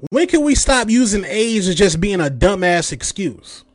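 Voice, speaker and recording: Late-thirties male podcast host, high-pitched, dry close mic, angry profane monologue. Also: very animated, moderate pace, no room sound. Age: 30s. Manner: heated, profane, mocking rant with mimicked quotes. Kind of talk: ranting commentary.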